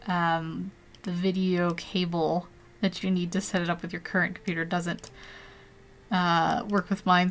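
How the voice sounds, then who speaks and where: A woman's voice: lowish voice, a bit bright. A young woman chatting casually on a live stream while teaching an art program, rambling and laughing often.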